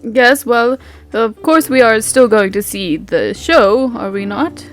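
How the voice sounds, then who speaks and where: Highish-pitched woman acting as a genteel gentleman, formal, politely insistent, halting, roleplay game, faint music. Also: animated. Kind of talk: improvised tabletop roleplay.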